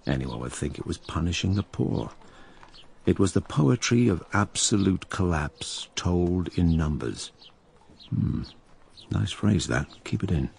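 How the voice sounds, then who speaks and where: Radio drama lead: imperious, sardonic diarist of stricken London, deep voice, very lively intonation. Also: male voice, unhurried, gravelly, a little hiss or hum, heavily acted. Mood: grave, detached. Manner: sardonic, self-aware, theatrical asides. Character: wry, imperious male narrator-diarist of plague-stricken London. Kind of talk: radio drama narration and acting.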